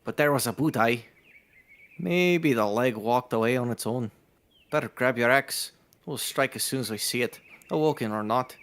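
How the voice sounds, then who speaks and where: A man voicing a gruff, scarred overseer in a story reading, with a blunt, commanding manner. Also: mid-range voice, normal speed, fairly bright tone, natural voice. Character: gruff scarred male overseer rallying workers.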